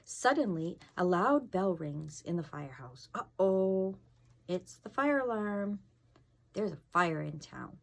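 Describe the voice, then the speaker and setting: Low-pitched woman reading expressively to children. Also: slow, gravelly. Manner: expressive, asking the young listeners questions. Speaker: fire inspector reading a children's book. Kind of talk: read-aloud storytelling with casual asides to children.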